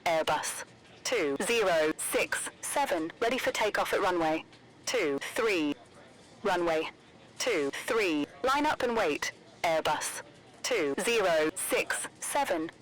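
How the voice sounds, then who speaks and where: A woman plays a pilot on air traffic radio in a game. She speaks slowly in a lowish voice. Her callsigns and readbacks come in clipped, procedural chunks. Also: lively intonation, poor audio.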